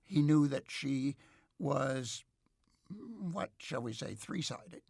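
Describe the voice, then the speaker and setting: Gravelly, creaky man in thoughtful discussion. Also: medium pitch, slow, darkish tone, no echo, studio quality. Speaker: researcher, expert interview guest. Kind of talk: reflective interview discussion.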